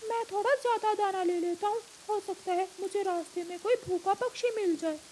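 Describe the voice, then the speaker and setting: A woman's voice: very high voice, normal speed, a little mellow, a little hiss or hum, voice pushed up. A woman voicing a helpful, generous male bird in a cartoon, with a kindly, practical manner.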